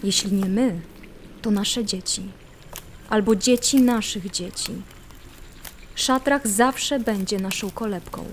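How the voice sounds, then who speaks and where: An actress playing a devout, hopeful female draenei priestess in a fantasy audio drama, speaking calmly, softly and solemnly. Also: mid-range voice, slow, long pauses, a little hiss or hum, lightly acted. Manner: calm, soft, solemn.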